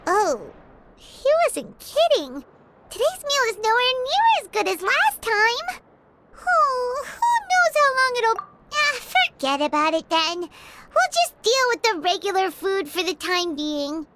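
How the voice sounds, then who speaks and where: A woman voicing a tiny childlike fairy companion in a video game, squeaky and exclamatory, with music behind her. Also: big pitch swings, slow, bright, forceful tone, slight background noise, heavily acted. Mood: disappointed. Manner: exclamatory, refers to self in third person. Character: tiny childlike floating fairy companion, excitable and food-loving. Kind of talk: video game character acting.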